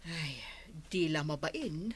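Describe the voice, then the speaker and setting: A woman's voice: low-pitched, animated, slow pace, breathy edge, dry recording, heavily acted. A woman acting an aggrieved village neighbor with an Ilocano accent in a comedy radio drama, complaining expressively. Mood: indignant. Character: aggrieved village woman complaining about her borrowed sandals.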